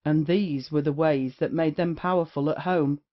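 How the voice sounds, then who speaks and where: A man playing a worldly, wry gentleman of the court in a period comedy audio play, with declamatory delivery. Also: fairly high pitch, fairly even intonation, moderate pace, close to an everyday voice. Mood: wry, knowing. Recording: dull lo-fi audio.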